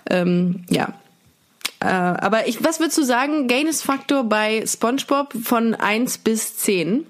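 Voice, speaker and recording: A woman's voice: a little high, animated, unhurried. Woman chatting casually on a podcast. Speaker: podcast host. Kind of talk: casual humorous podcast chat.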